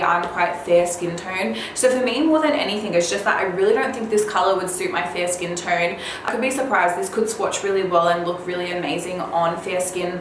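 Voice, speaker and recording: A woman beauty vlogger chatting casually and informally to viewers, her voice slightly raspy from a cold. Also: fairly low pitch. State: slightly under the weather with a cold. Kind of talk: casual chat to viewers about product releases. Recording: very echoey room, faint background noise.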